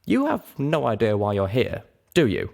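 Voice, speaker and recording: A man voicing a gentle male pony legal clerk, formal and matter-of-fact, in a story reading. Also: medium pitch, huge pitch swings, moderate pace, vocal fry. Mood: puzzled.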